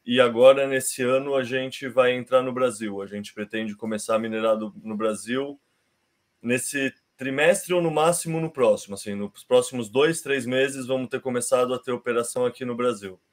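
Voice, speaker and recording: Unhurried Brazilian man, easygoing explainer. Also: medium pitch, steady tone. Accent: Brazilian Portuguese. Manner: unhurried, explanatory, with frequent restarts. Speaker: podcast guest. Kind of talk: casual conversation.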